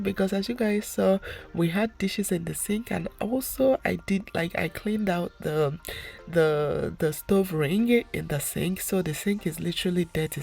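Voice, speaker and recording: Chatty woman with gravelly low voice. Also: darkish tone. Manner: chatty, repetitive, addresses viewers as guys. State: stuffy nose. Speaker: home vlogger. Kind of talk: casual voice-over narration.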